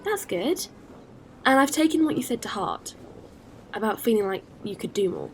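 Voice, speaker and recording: An actress playing a decisive, warm-hearted starship captain in a sci-fi audio drama. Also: female voice, on the high side, lively intonation, normal speed, slight background noise. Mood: earnest.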